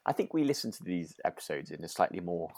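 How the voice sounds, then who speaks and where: A man with a low voice in a very dry recording. He chats casually as a podcast co-host, agreeable and a little hesitant as he rambles through his thoughts. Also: big pitch swings, fast, a little mellow, dry recording. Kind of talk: relaxed casual discussion of a radio drama.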